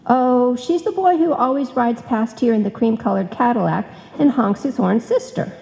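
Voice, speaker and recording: A woman's voice: on the high side, moderate pace, vocal fry, warm tone. An actress playing a sweet, naive, scatterbrained young woman in an old-time radio comedy. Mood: cheerfully naive. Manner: naive, guileless. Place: live stage performance in a hall. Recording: live recording in reverberant hall.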